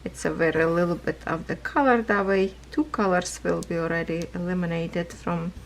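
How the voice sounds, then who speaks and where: A woman chatting casually about her cross-stitch projects, rambling, laughing often, with a hoarse voice as she is losing it. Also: lowish voice, fairly even intonation, normal speed, slight background noise. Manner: rambling, non-native phrasing, laughs often. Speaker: craft vlogger. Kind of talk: casual chat while stitching.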